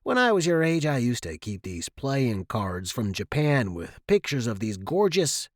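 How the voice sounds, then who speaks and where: A man's voice: mid-range voice, big pitch swings, unhurried, no echo. Man acting a working-class dad, coarse, blustering, hot-tempered, slangy, storybook character, dry close recording. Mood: conspiratorial. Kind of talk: acted audiobook dialogue.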